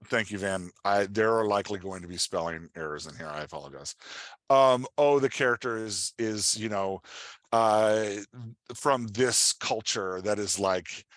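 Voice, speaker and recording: A man giving an informal workshop as a writing coach, talking in an animated, conversational way and often checking in with his listeners. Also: on the low side, unhurried, no room sound, clean studio sound. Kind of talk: informal online workshop presentation.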